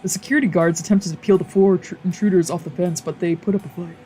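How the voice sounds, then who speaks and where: Excitable woman streamer reading aloud. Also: lowish voice, quick pace, faint music behind. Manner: excitable, sweary. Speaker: let's play streamer. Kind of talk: visual novel read-aloud with casual reactions.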